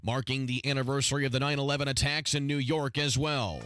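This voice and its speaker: A man's voice: mid-range voice, steady tone, quick pace, noisy background. A man reading a scripted radio news bulletin as a station newsreader. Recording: studio voice over news music bed. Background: music.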